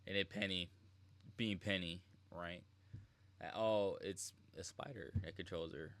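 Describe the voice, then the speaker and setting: A man's voice: low-pitched, fairly even intonation, measured pace, fairly bright tone. A man giving casual live reaction commentary as a game streamer, slangy and informal.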